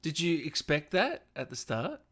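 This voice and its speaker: A man's voice: fairly high pitch, big pitch swings, normal speed, crowd noise. A man hosting a gaming livestream, chatting casually and appreciatively with his guest and viewers. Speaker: host of a gaming interview livestream.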